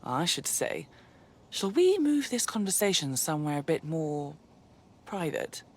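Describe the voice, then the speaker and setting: Game socialite, haughty teasing woman, very lively intonation, cutting banter. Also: low-pitched, unhurried, breathy edge, exaggerated voice. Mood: playful, flirty. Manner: arch, theatrical, teasing. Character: haughty, sharp-tongued flirt, playful and cutting.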